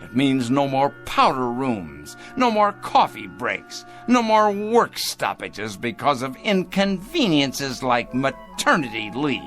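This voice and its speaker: An actor playing a cold, pompous factory boss in a radio drama, with a theatrical, condescending manner. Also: male voice, medium pitch, sing-song, takes it slowly, hardly any pauses, fairly bright tone, faint music behind. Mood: smug, excitable.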